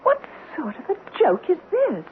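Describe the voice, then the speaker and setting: An actress playing a headstrong, lovestruck young daughter, imperious and dramatic, in old-time radio drama style. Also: female voice, very high-pitched, huge pitch swings, a little slow, phone-quality sound, exaggerated voice. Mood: indignant. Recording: thin, noisy vintage radio recording.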